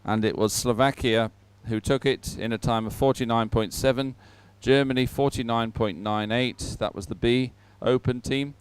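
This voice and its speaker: A man presenting a live sports event off the cuff, in a chatty, somewhat halting manner. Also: medium pitch, fairly even intonation, clean tone, no room sound.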